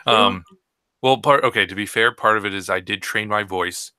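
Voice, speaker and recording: A man with a lowish, very bright voice, talking loosely with lots of ums and likes. The sound is dry and close. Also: moderate pace, no echo. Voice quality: trained voice, speaks from the throat. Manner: rambling, hesitant, many fillers. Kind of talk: casual chat.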